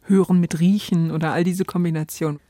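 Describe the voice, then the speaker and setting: A man speaks casually in a dark, mellow voice. The recording is very dry and close. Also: fairly high pitch, fairly even intonation, a little fast, no room sound. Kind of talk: casual studio chat.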